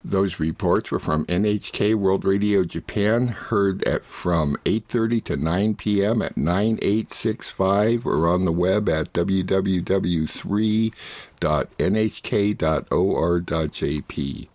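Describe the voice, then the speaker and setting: Deep-voiced man announcing, dry and close. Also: slow pace, a little mellow, dry recording. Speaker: radio show host and producer. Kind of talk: scripted radio announcing.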